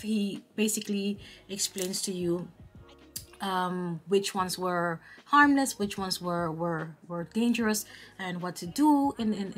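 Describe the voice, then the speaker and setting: A young woman from the Dominican Republic tells a travel story straight to her viewers in a casual, expressive way. She restarts her sentences often and leans on fillers. Soft music plays faintly behind her. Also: on the low side. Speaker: travel vlogger.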